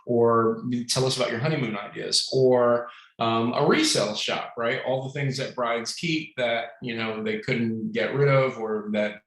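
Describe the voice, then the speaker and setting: A man hosting a casual webinar, friendly and rambling, with quick asides. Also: medium pitch, fairly even intonation, a bit bright, reverberant, rough recording. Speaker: webinar host. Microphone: video call.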